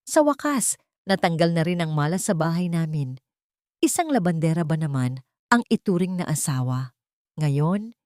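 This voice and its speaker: A woman's voice: low-pitched, warm tone, dry recording, studio quality. A woman voicing a haughty, sneering older society matriarch who gloats and gossips in an audio drama.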